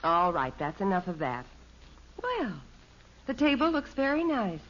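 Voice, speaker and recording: A woman playing a patient, reassuring mother in a 1950s American radio sitcom, soothing and gently firm, with an American accent. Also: mid-range voice, wildly swooping pitch, measured pace. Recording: dull old radio recording.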